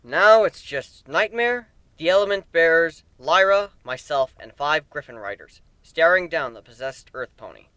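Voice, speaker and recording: A man giving an animated, theatrical dramatic reading of a first-person fan fiction story. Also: on the high side, normal speed, few pauses, smooth, no room sound, poor audio. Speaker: amateur story narrator. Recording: background music under the voice.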